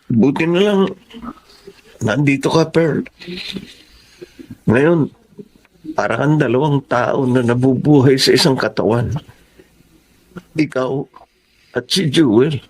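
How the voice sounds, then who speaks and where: A man's voice: medium pitch, slow, pauses often, darkish tone, slightly rough, rough recording. An actor with a Filipino accent playing a boyish, sentimental young man, a loyal friend, in a radio drama.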